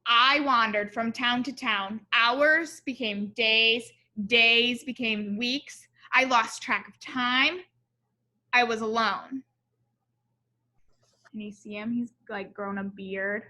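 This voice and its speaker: A woman's voice: fairly high pitch, slow pace, pushed and bright. A woman reading a picture book aloud to children, expressively, with explanatory asides and questions for her young listeners.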